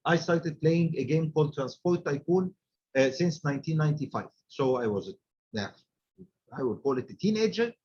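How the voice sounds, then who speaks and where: Man, Arabic speaker, 40s, raspy, chatty presenter, muffled lo-fi sound. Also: fairly high pitch, expressive, normal speed, a little mellow. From Egypt. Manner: chatty, peppers talk with "okay". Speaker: guest speaker. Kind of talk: business presentation.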